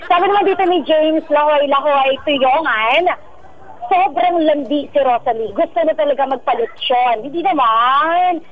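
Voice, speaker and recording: A Filipino woman with a high voice co-hosts a radio show in a playful, slangy style. She banters casually as she goes through listener messages. The sound is dull and band-limited, as if over a remote link. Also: normal speed. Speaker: radio co-host.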